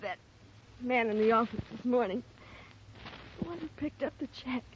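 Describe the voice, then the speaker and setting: On a muffled, noisy old radio recording, an actress plays an evasive woman under questioning. Her voice is fairly high, anxious and defensive, in 1940s radio drama style. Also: moderate pace, natural voice. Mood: weak, frightened.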